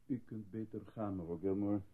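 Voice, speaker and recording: An actor playing a controlling, evasive male doctor in a radio drama, speaking formally in a husky, patronising voice. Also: fairly low pitch, fairly even intonation. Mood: firm, hushing. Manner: formal, patronising, measured reassurance. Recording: muffled lo-fi old radio recording.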